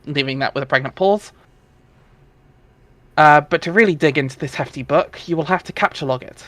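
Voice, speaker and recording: Higher-pitched man narrating, dry close sound. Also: fairly quick, a bit bright, no echo. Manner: wry, informal. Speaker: narrator of a webcomic reading. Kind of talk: dramatic narration with casual asides.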